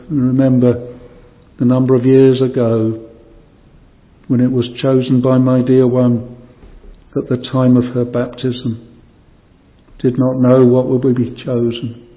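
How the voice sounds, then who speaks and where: A man leading a solemn extempore prayer in old-fashioned language, pleading, his voice falling away quietly at the ends of phrases. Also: medium pitch. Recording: distant hall reverb, phone-like band.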